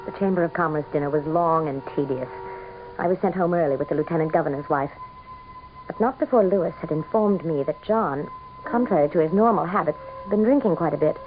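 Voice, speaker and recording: An actress playing a vain, cold, glamorous governor's wife in a radio drama. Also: female voice, deep voice, brisk, soft background music, close to an everyday voice. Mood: sombre narrating. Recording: tinny, faintly noisy old radio recording.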